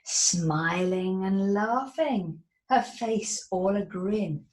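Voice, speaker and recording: A woman reading a rhyming picture book aloud in an animated, theatrical way. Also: medium pitch, drawn-out words. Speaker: narrator.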